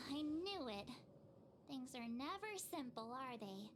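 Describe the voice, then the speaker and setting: A bubbly young girl adventurer from a video game. The voice is extremely high, easygoing and casual. Faint noise sits in the stream capture. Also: animated, takes it slowly. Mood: resigned.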